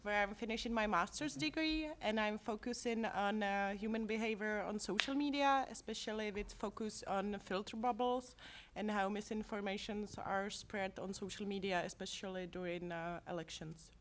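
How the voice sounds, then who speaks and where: A woman's voice: medium pitch, steady tone, normal speed, slight background noise. A young woman with a Czech accent introducing herself to an audience. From Czech Republic. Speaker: graduate student.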